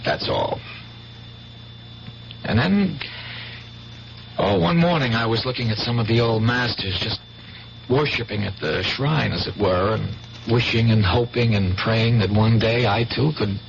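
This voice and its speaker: Earnest, creaky-voiced painter. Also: male voice, medium pitch. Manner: earnest, halting, dramatic. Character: earnest, dreamy male painter, evasive and intense. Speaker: radio drama actor. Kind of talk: 1970s radio drama acting. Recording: muffled 1970s radio broadcast, faint hum.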